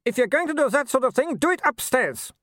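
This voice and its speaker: Imperious older werewolf noblewoman, her voice pitched well above his own and swooping wide with disdain. Every remark lands sharp and scornful, from an audiobook read dry and close. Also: animated, a little fast, no room sound. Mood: scolding. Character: haughty snobbish older werewolf baroness.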